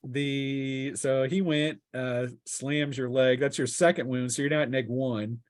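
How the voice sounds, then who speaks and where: Man, mid-pitched voice, informal game master, jokey exclamations, casual tabletop narration, dry close sound. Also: fairly even intonation, slow, no echo.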